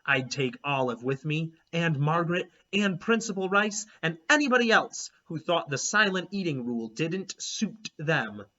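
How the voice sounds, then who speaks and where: A man reads a children's chapter book aloud, his bright voice rising and falling with animated expression. He tells the story like a teacher to a class. The recording is dull and lo-fi. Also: fairly high pitch, normal speed, few pauses.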